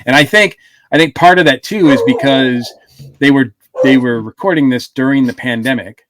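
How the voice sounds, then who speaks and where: A man's voice: medium pitch, normal speed, noisy background. A man co-hosting a casual podcast review, rambling with lots of fillers and restarts. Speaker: podcast co-host.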